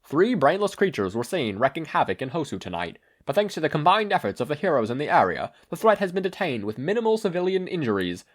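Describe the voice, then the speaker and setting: A man's voice: fairly high pitch, voice pushed up. A man voicing a formal television news reporter with a proclaiming delivery, in a story reading. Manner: formal announcing.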